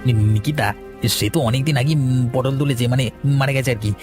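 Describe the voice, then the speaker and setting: A man's voice: mid-range voice, moderate pace, darkish tone, soft background music, natural voice. A man playing an eager, cowardly young disciple who stammers deferentially in a horror cartoon. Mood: eager, nervous. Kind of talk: cartoon horror drama acting.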